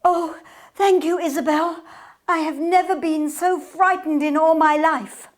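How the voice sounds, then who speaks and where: A woman's voice: very high voice, fairly even intonation, measured pace, slight background noise, voice pushed up. A woman voicing a sweet, easily frightened younger sister in an earnest radio play melodrama. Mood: shaken, grateful.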